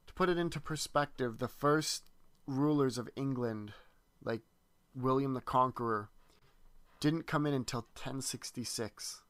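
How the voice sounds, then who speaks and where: Male history presenter, mid-pitched, reads text aloud steadily, close dry recording. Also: measured pace, a bit bright, smooth, no echo.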